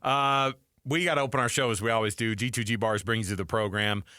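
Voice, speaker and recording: Man, sports talk host, casual and emphatic, bright forceful tone, dry close-mic studio sound. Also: lowish voice, steady tone, brisk, smooth, no room sound. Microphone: close studio microphone.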